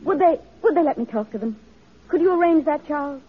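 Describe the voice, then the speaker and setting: High-voiced earnest woman reformer, old radio. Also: animated, a little fast. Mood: hopeful, earnest. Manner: earnest, emotive, genteel. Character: earnest, determined woman settlement-house reformer, idealistic and pleading. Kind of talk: old-time radio drama acting. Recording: dull vintage radio recording, noisy, crowd behind.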